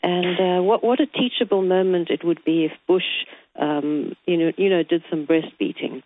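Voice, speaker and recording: A woman's voice: lowish voice, fairly even intonation, moderate pace, no echo. Hesitant woman guest on a phone line. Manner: hesitant, many ums, emphatic. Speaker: guest columnist. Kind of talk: radio interview, opinion commentary. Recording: dry phone-line audio.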